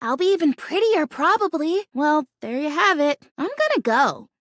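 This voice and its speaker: A bubbly little fake houseplant from a video game, with a very high, lively voice that leaps around. She is naive and stubbornly hopeful, chattering emphatically with big dramatic flair. The sound is close and dry. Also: normal speed, no room sound. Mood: hurt, huffy. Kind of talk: animated video game character acting.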